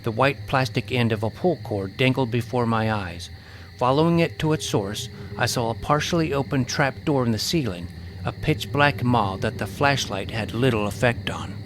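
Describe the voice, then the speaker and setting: A man's voice: mid-range voice, moderate pace, poor audio. A man giving a dramatic, scripted first-person narration of a suspense adventure story.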